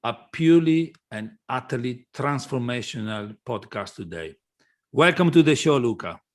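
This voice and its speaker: A man with a mid-range voice hosts a podcast interview. He speaks conversationally, in halting phrases with pauses as he works toward his questions. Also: mid-range voice, animated.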